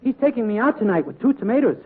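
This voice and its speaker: A man's voice: very high voice, animated, rapid-fire, husky, heavily acted. An actor playing a naive, cheeky young mama's boy in a vintage radio comedy, eager and innocent. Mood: cheeky innocence. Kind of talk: 1940s radio comedy acting. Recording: vintage radio, narrow band, audience behind.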